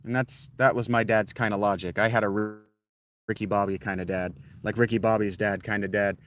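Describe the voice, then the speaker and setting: A man's voice: on the low side, fairly even intonation, brisk. Rambling, profane Southern man. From North Carolina. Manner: rambling, profane, talks straight to his chat. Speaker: live streamer. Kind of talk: casual chat with live viewers.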